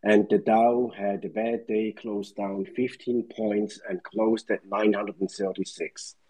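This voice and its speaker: Older Swiss-born caller, deep dark husky voice, rambling recollection packed with dates. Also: male voice, flat delivery, slow pace, low-quality recording. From Switzerland. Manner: rambling, recites dates and figures. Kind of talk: casual market recollection.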